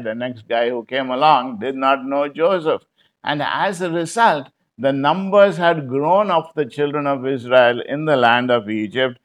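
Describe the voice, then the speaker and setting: Man preaching with repeated phrases. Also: medium pitch. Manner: repeats key phrases for emphasis; conversational, many "you know" fillers. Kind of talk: bible study sermon.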